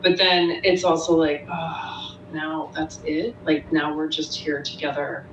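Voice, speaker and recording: A woman's voice: a little slow, lo-fi sound. A woman, the front woman of a band, speaking candidly in a casual interview chat. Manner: relaxed, candid storytelling. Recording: roomy, distant remote mic with faint rumble.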